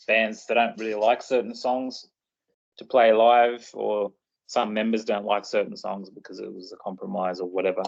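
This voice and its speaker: Male podcast host, relaxed and rambling, gravelly voice on a dull recording. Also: on the low side. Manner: laid-back, loose and rambling. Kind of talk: casual chat.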